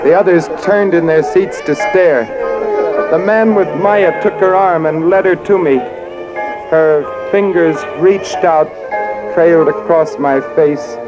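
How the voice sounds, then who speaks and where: A man's voice: fairly high pitch, steady tone, highs cut off. An actor playing a haunted, furtive murderer who narrates his crime in 1940s radio thriller style. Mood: tense. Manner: dramatic, deliberate first-person storytelling. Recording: old radio drama over loud music.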